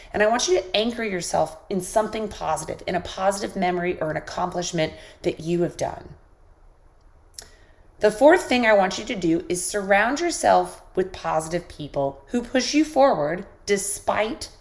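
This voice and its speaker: A woman hosting a podcast, giving an encouraging motivational talk while sick with a squeaky voice. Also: darkish tone. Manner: encouraging, coaching listeners directly. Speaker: podcast host.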